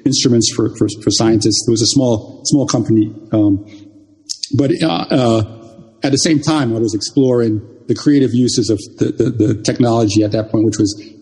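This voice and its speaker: Halting older man from Jamaica, husky. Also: mid-range voice, a little mellow, long reverb tail. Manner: halting, many restarts and repeated words. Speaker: guest. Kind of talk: reminiscing interview talk.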